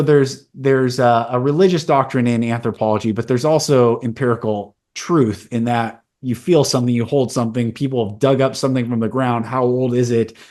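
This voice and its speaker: A man's voice: medium pitch, darkish tone. A man in his forties with a mid-range voice hosts a podcast. He shares a personal story in a candid, earnest, conversational way. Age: 40s. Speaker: podcast host. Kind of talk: casual conversation.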